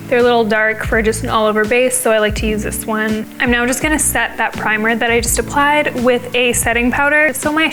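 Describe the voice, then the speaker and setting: A young woman, slightly raspy as she gets over a cold, explaining a makeup look step by step in a casual, chatty way. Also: on the high side, fairly bright tone. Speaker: beauty vlogger.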